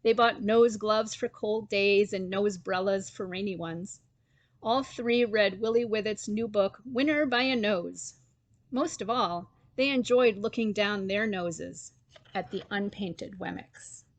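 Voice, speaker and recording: A woman's voice: medium pitch, measured pace, dry recording. Woman reading a children's story, dry sound.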